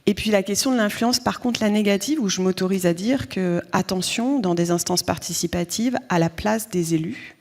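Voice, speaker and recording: A woman, a local elected official, giving round-table remarks in a halting way with repeated words. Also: medium pitch, darkish tone.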